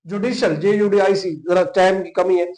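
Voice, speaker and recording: A man's voice: high voice, little pitch movement, brisk, a little mellow, poor audio. A man with a Pakistani accent lecturing as a teacher, repeating key points emphatically and mixing in English terms. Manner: repetitive, emphatic, checks understanding and spells out key terms. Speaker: law lecturer for police exam candidates. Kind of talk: teaching a lesson, Urdu mixed with English legal terms.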